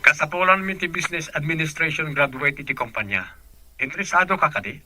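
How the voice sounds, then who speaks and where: A man's voice: fairly high pitch, quick pace, pushed and bright, no room sound, faint music behind, poor audio. A man with a Filipino accent playing a businesslike, authoritative company department head in a radio drama. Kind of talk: Ilocano radio drama acting.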